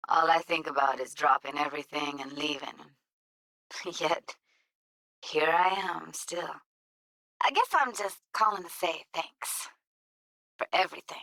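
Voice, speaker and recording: A woman's voice: sits low, bright, forceful tone, no room sound, heavily acted, low-quality recording. An actress playing a tough, candid young woman technician in a video game, talking in a casual, slangy, blunt way. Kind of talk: naturalistic game voice acting.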